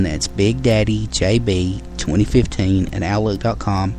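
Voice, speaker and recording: Slow, low-voiced male podcast host. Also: a little mellow. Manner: rambling, with many fillers and restarts; friendly sign-off to listeners. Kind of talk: casual solo show talk.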